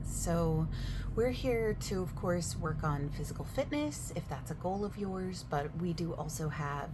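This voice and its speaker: A woman in a soft-spoken roleplay as a gym receptionist, overexplaining the options in a chatty way and sometimes dropping to a whisper. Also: fairly low pitch, noisy background. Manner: chatty, overexplaining, with filler words; drifts between soft speech and whispers.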